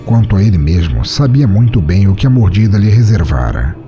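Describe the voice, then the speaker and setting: A Brazilian man hosting a podcast and narrating scripted fiction in an expressive, theatrical way. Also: lowish voice, brisk, music in the background. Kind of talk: dramatic scripted short-story narration.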